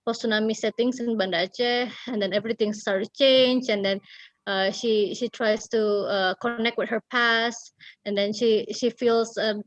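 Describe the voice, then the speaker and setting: A woman with an Indonesian accent telling a story casually, often halting as she searches for words. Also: on the high side, steady tone, no echo, low-quality recording. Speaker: writer and guest storyteller. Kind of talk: casual storytelling in an online talk.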